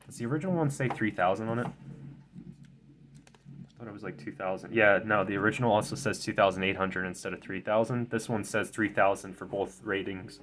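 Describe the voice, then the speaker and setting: Young man, slightly nasal, low voice, tired, rambling unboxing chat, faint background hiss. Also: brisk, fairly bright tone. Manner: rambling, self-correcting, many ums and stumbles. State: tired and stuffy. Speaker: tech video creator. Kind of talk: casual unboxing commentary. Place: desk at home, indoors.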